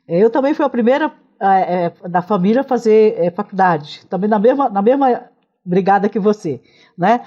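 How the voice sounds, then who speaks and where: A woman from Northeast Brazil hosting her channel and interviewing a guest, often halting and restarting her questions. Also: mid-range voice, animated, lo-fi sound. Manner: hesitant, with many fillers and restarts.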